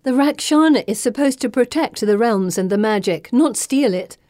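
A woman narrator voicing a frightened but defiant young heroine, pleading and high-strung, in an audiobook. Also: lively intonation, normal speed, a little mellow, no echo, clean studio sound, natural voice. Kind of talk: audiobook character voice.

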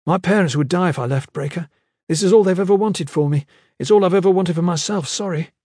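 A man's voice: high voice, fast talker, darkish tone, no room sound, studio quality, voice pushed up. A man voicing an earnest, anxious young guard recruit in a fantasy audiobook, pleading and apologetic. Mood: anguished.

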